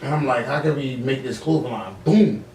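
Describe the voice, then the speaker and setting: A man hosting a casual podcast, talking in animated, profane slang. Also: medium pitch, a little fast. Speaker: podcast host. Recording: roomy, echoey, distant mic, background noise.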